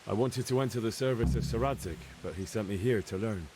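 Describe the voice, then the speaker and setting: An actor playing an earnest, dutiful young peasant recruit in a medieval video game. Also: male voice, fairly low pitch, brisk, background noise, close to an everyday voice. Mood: earnest, modest. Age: young adult.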